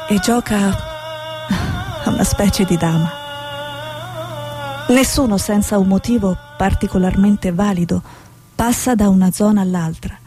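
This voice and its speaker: An actress playing an earnest, idealistic female war reporter, speaking in a measured, reflective, theatrical way. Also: mid-range voice, close to an everyday voice. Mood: somber, reflective. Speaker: stage actor. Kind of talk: stage drama, dialogue and reflective monologue.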